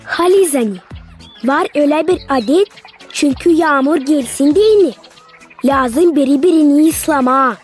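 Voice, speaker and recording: A woman's voice: high-pitched, a little slow, darkish tone, soft background music. A curious little cartoon girl. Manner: curious, asking questions.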